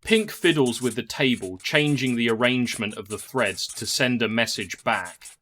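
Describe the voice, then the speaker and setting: A man's voice: medium pitch, normal speed, sharp tone. A man narrating a scripted fantasy story in a dramatic storytelling manner.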